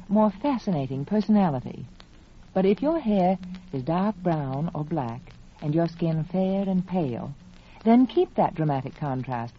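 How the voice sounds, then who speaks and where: A woman reading a scripted 1940s radio cosmetics advertisement in a confiding, persuasive, emphatic manner. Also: medium pitch. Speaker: cosmetics spokeswoman. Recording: muffled, faintly noisy vintage radio recording.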